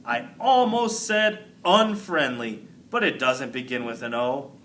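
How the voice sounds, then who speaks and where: A man, a teacher, reads a children's book aloud with expressive storybook narration. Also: fairly high pitch, measured pace.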